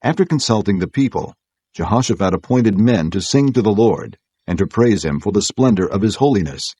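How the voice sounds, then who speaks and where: A man reads scripture as a narrator, measured and solemn. His voice is very low and mellow with a husky grain, telling the account as scripted narration. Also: expressive, no echo. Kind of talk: scripted narration of an audio Bible.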